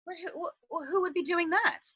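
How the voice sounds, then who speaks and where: High, bright, anxious woman fugitive, played by an actress. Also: moderate pace. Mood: wary. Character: anxious but determined woman fleeing a flooded underground shelter. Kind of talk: dramatic stage-play dialogue.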